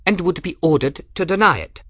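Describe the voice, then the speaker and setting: A man's voice: on the high side, animated, fairly quick, fairly bright tone, dry recording, clean studio sound, pitched above the performer's own voice. A man voicing an arrogant, sneering male commodore who threatens and belittles. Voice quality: sneering, contemptuous.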